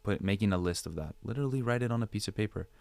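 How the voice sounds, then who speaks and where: A man's voice: on the low side, expressive, rapid-fire, darkish tone, no echo. A man speaking as a podcast guest, giving conversational advice with rhetorical questions and repeated phrases for emphasis. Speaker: podcast guest, digital creator.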